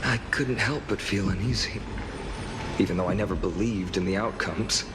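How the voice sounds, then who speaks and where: An actor playing an earnest, guarded young nobleman hero in a video game, speaking in a measured, serious way. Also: fairly low pitch, very noisy, natural voice. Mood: wistful.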